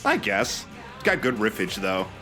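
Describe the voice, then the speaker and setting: A man's voice: fairly low pitch, big pitch swings, normal speed, sharp tone. A man chatting casually over a video game as a co-commentator, blunt and informal in how he talks. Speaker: let's play co-commentator. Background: video game music.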